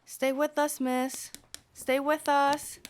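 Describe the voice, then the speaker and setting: A woman's voice: high-pitched, little pitch movement, takes it slowly. An actress playing a matter-of-fact, fussing woman bystander in a radio drama. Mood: concerned, hushing.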